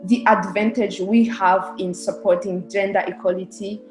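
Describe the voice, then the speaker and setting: A woman's voice: medium pitch, flat delivery, normal speed, over music. A young woman from Rwanda, a guest on a podcast, answering earnestly and explaining her views at length. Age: young adult. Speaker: podcast guest, research specialist. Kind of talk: casual interview answers. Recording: echoey room.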